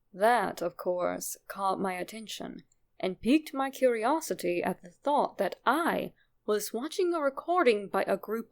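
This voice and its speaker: A woman narrates a scripted horror story in the first person. Her voice sits at an ordinary female pitch and carries a marked creak, with plenty of vocal fry in the delivery.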